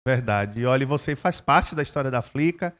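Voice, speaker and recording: A man's voice: mid-range voice, expressive, brisk, fairly bright tone. Man hosting a friendly interview. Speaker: interview host.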